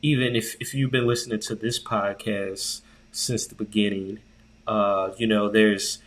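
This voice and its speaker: Animated, slangy podcast guy. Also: lowish voice, unhurried, poor audio. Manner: animated storytelling, slangy and profane, repeats words for emphasis. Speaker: podcast co-host. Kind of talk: casual chat.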